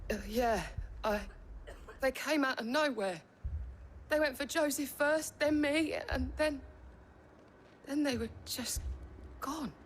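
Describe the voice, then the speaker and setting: A woman playing a dazed, frightened wounded survivor in a video game drama, speaking in halting phrases. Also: high-pitched, a little hiss or hum. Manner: halting, faltering speech.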